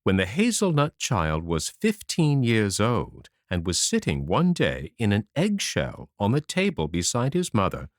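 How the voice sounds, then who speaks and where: A man narrating a children's fairy tale audiobook in an expressive, animated storytelling manner. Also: lowish voice, a little slow, few pauses, no echo, studio quality.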